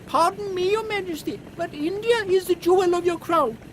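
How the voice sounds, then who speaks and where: A woman's voice: very high-pitched, normal speed, poor audio. A woman playing a prim, literal-minded, dutiful aircraft crew member in an audio drama, speaking formally and precisely. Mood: matter-of-fact. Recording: faint outdoor vehicle noise.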